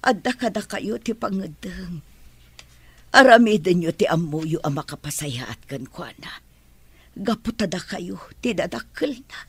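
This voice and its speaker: Humble kind maid in a radio soap, husky breathy low-ish voice, soft and deferential. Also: female voice, animated, a little slow, dry recording, heavily acted. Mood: earnest, deferential. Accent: Filipino. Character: meek, patient, kindly household servant woman. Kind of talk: radio drama acting.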